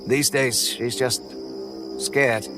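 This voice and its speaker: A man narrating a first-person horror story in a relaxed, folksy way, over faint music. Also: medium pitch, very slow.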